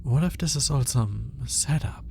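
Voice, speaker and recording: A man performs a lovelorn male alien scientist in an intimate audio roleplay. He is halting and awkward, speaking slowly in a soft-edged, dark tone with a creaky fry. He sounds like a lonely obsessive puzzling things out to himself. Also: medium pitch, animated, slight background noise. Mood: puzzled, suspicious. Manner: hesitant, halting, musing aloud with sighs.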